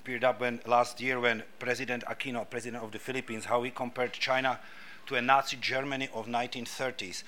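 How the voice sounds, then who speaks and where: A middle-aged man with a Czech accent gives a talk at a club event. He speaks haltingly, with frequent restarts and fillers, in a bright voice. Faint room noise sits behind him. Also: mid-range voice. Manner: hesitant, many restarts and fillers. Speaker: guest speaker, filmmaker.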